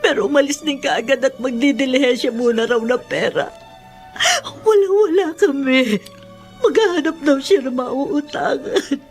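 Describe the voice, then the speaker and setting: A woman's voice: sits high, measured pace, darkish tone, faint music behind. A woman acting an anxious, devout older mother in a Filipino radio drama, with a pleading, tearful, melodramatic delivery. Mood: worried.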